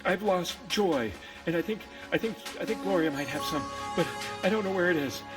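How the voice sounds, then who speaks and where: A man's voice: high-pitched, brisk, music in the background, lightly acted. A man voicing a frantic, breathless little snail who stammers and repeats himself urgently. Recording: echoey hall with long reverb.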